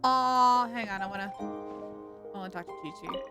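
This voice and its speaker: A woman's voice: medium pitch, moderate pace. A woman streaming a game, giving casual, playful commentary as she reacts aloud to the story. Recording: game music behind the voice. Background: game music.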